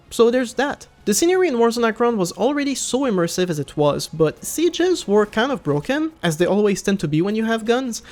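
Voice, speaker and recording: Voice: medium pitch, darkish tone. A gaming video creator narrating a scripted review in a casual, enthusiastic way.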